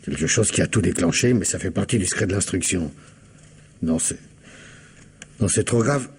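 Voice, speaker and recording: An actor playing a weary married police inspector in a radio mystery, speaking colloquially and defensively. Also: male voice, low-pitched, normal speed, a little mellow, husky, heavily acted. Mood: guarded. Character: gruff, weary married police inspector, evasive with his wife. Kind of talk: old French radio mystery acting.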